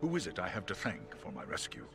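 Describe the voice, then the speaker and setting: An actor voicing a noble, courteous great eagle in a fantasy game, with formal, dignified speech. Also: male voice, deep voice, huge pitch swings, quick pace, gravelly, music in the background, full character voice. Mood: grateful dignity.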